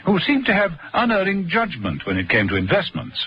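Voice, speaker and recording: An actor playing the narrator of a radio spy serial, reading scripted story links. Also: male voice, sits high, wildly swooping pitch, brisk, a bit bright, breathy edge, exaggerated voice. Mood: scene-setting.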